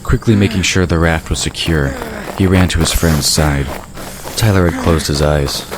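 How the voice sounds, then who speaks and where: A man's voice: very deep voice, normal speed. A man narrating a scripted fantasy audio drama. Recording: noisy ambient sound effects behind.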